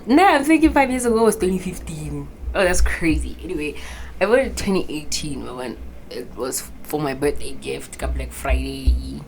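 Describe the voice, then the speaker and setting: Low-voiced young South African woman. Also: a little hiss or hum. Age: 20s. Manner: rambling, chatty, swears freely, switches into local words. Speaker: vlogger. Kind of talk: casual chat to camera. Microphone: phone camera with a clip-on mic.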